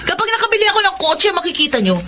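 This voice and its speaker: A woman's voice: extremely high pitch, big pitch swings, very fast, noisy background. A woman playing a playful, pushy young adult younger sister in a radio drama, with a Filipino accent. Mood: playful, sulky. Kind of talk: Tagalog radio drama acting.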